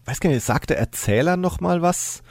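A man's voice: fairly high pitch, expressive, normal speed, darkish tone, no room sound. A man on a podcast retelling a story in an informal, explanatory way. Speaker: podcast co-presenter.

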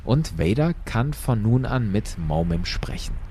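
A man's voice: mid-range voice, animated, a little slow, warm tone, slight background noise. A man hosting and narrating a story in a dramatic, scripted style. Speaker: storyteller host.

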